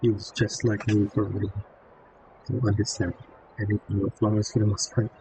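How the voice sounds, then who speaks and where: A man's voice: on the low side, completely flat delivery, normal speed, dark tone, a little hiss or hum, rough recording. A man giving offhand, mumbled commentary on gameplay into a built-in mic. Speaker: gameplay streamer. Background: game music.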